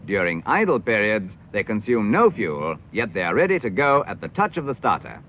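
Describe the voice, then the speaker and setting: Animated British man narrating a vintage educational film. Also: fairly low pitch, a little hiss or hum. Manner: formal, measured, declamatory. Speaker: documentary narrator. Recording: dull vintage film soundtrack with music. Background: clear background music.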